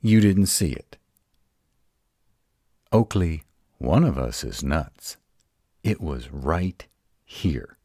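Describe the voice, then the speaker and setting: A man playing a gruff, protective, uptight male were-dragon in an intimate roleplay audio drama, halting and dramatic. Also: very deep voice, sing-song, mellow, no echo, studio quality, full character voice. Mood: agitated, incredulous. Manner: halting, dramatic, slow delivery with emphatic outbursts.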